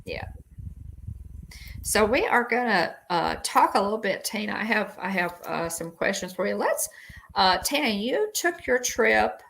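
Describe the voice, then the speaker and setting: A woman's voice: medium pitch, very animated, measured pace, creaky, fairly bright tone, poor audio. A woman co-hosting a live stream, chatting casually and rambling as she greets viewers by name. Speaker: livestream co-host.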